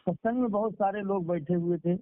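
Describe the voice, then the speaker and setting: Higher-voiced male preacher on a phone line. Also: a little fast, slight background noise. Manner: repeats phrases for emphasis. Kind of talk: devotional sermon with moral storytelling. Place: speaking over a conference call. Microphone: telephone. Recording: tinny phone conference-call line.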